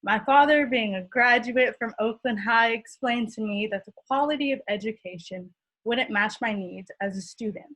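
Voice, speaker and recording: A woman's voice: on the high side, moderate pace, a bit bright, low-quality recording. A teenage girl from California giving an earnest, impassioned prepared speech as a student speaker. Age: teens.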